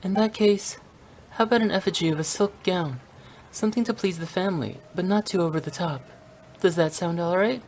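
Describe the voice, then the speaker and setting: Actress as a young female funeral director, quite low voice, businesslike, precise, courteous, faint noise, video game. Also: darkish tone, close to an everyday voice. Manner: measured, professional, precise. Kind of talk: English video game voice acting.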